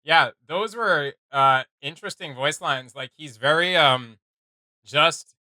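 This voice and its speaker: Man, reaction host, casual chat, hesitant with fillers, bright sharp tone, dry close sound. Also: fairly high pitch, slow pace, dry recording, low-quality recording. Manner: hesitant, filler-heavy musing.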